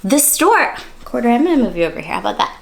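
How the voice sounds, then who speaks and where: A high-voiced woman leads story time for little kids. Her delivery swoops up and down with playful, exaggerated surprise, and she talks straight to her young listeners as she reads. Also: racing, vocal fry. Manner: animated, exaggerated surprise, addressing children directly with questions. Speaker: children's story-time host and reader. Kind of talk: interactive read-aloud for young children.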